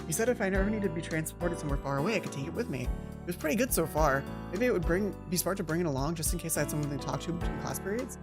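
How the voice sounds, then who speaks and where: Woman, low register, visual novel narration, casual asides, self-correcting, animated, game music underneath. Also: racing. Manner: animated, self-correcting, repeats lines to rehearse them. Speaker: let's play host and narrator. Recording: music playing behind the voice.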